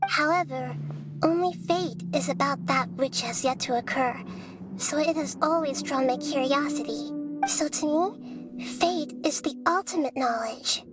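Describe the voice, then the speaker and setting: A woman voicing a gentle, curious young godlike sage in an anime-style game, speaking in a measured, earnest and articulate way. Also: high voice, natural voice.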